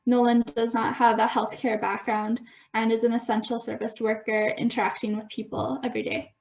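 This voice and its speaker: Woman mid 20s from alberta, class presentation, lots of fry, highish. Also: level tone, a little fast, a little mellow, low-quality recording. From Alberta, Canada. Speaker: student presenter. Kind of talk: presenting a project.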